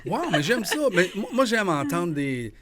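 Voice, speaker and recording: A man's voice: high-pitched, very animated, normal speed, a loud crowd. Quebec french guy hosting radio, enthusiastic, wanders a lot. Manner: chatty, enthusiastic, rambling. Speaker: radio host. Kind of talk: radio interview chat.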